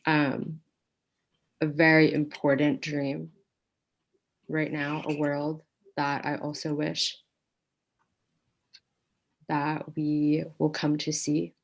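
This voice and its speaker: Low, slow, creaky-voiced woman in her forties. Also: monotone, lo-fi sound. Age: 40s. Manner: thoughtful, hesitant pauses. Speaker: teaching artist. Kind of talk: reflective workshop discussion.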